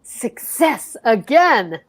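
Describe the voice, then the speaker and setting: A woman's voice: on the high side, sing-song, extremely slow, full character voice. Woman playing a stammering good-natured husband. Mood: easygoing. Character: easygoing bumbling husband George. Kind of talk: amateur old-time radio acting.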